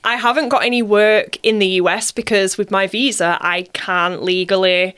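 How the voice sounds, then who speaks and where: A woman speaks with a Bradford accent in a mid-pitched voice. She is an actress being interviewed, and she talks in a casual, chatty way. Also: fairly even intonation, moderate pace, a bit bright, clean tone. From Bradford, England.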